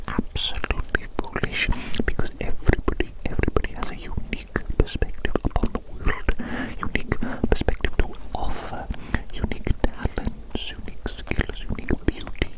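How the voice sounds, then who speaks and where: A woman's voice: lightly acted, poor audio. A woman playing a grandiose evil doctor who is fond of a creature, musing theatrically and repetitively in formal words in an ASMR roleplay. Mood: musing, disdainful.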